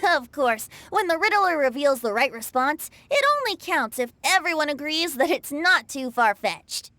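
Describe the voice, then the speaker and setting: A woman's voice: high voice, lively intonation, moderate pace, pushed and bright, dry recording. A woman voicing a playful young bard in a video game, with theatrical, wordy phrasing. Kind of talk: animated video game voice acting.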